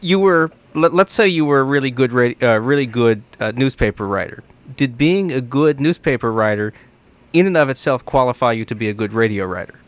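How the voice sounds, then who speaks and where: A man's voice: medium pitch, expressive, brisk, dry recording. An American man works as a radio interviewer. His voice sits in a middle register as he introduces and questions a guest.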